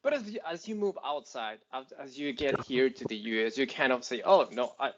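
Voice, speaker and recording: A man's voice: mid-range voice, animated, a little fast, slight background noise. Mexican-accented man, panelist, rambling with fillers, video call, dull lo-fi sound. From Mexico City. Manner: rambling, many fillers and restarts, laughs often. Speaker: panelist, software engineer. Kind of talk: casual panel talk.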